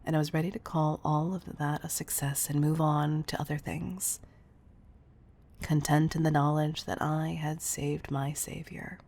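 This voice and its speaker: A woman playing a protective, doting CEO, tough but tender, in an intimate audio roleplay, husky and breathy, often close to a whisper. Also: sits low, little pitch movement, a little mellow. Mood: confessional, restrained. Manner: intimate, deliberate delivery with pet names.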